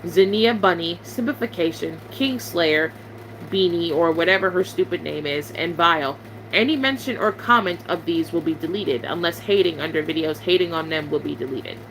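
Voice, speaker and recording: A woman's voice: on the low side, moderate pace, background noise. A young woman YouTuber, venting bluntly and sarcastically to her viewers in a casual chat.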